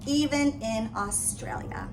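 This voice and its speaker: Woman, lively varied intonation, storybook read-aloud, dramatic emphasis, distant noisy recording. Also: on the high side, slow. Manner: expressive, dramatic emphasis on repeated phrases. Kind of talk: animated picture-book read-aloud.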